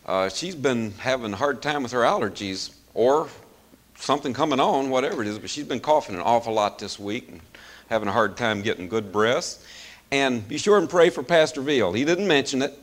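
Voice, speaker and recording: Hoarse preacher with lively swinging pitch. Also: male voice, moderate pace. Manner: folksy, chuckling, loose with fillers. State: hoarse, losing his voice. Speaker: pastor. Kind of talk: sermon.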